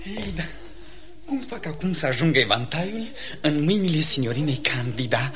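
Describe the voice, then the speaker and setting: An actor playing a courtly, eager gentleman in love in a theatrical radio comedy, with flowery, gallant politeness. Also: fairly high pitch, big pitch swings, a crowd in the background. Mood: coy, scheming.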